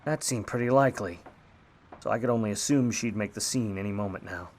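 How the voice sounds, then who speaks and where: An actor playing a grudging, wry, world-weary 1950s Hollywood private eye, narrating in old-time radio noir style. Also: male voice, fairly low pitch, brisk, a little mellow, a little hiss or hum, close to an everyday voice. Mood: wry, watchful.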